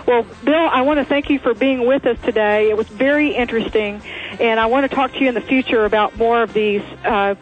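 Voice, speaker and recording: A woman with a Texas lilt hosts a radio talk show, chatting with guests in a folksy, plain-spoken manner. Her voice sits a little high for a woman. The sound is thin and tinny, like a phone line. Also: a little fast, faint music behind. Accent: Texan.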